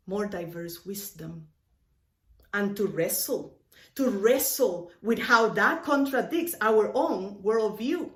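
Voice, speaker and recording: A woman from Cuba giving a sermon as a minister, reflective and earnest, stressing phrases with deliberate pauses and repetition. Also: on the high side. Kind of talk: sermon, reflective with personal stories and quotations. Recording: echoey, roomy sound.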